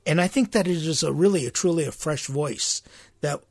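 Raspy, earnest male priest. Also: on the high side, normal speed, no room sound. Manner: earnest, conversational, with restarts and sudden emphatic rises. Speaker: priest and podcast host. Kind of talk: informal spiritual talk. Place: studio.

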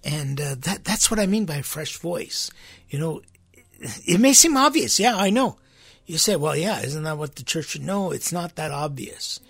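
A man with a raspy voice, a priest hosting his podcast, talking earnestly and conversationally, with restarts and sudden emphatic rises. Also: on the high side, lively intonation, normal speed, fairly bright tone, dry recording, soft background music. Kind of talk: informal spiritual talk. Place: studio.

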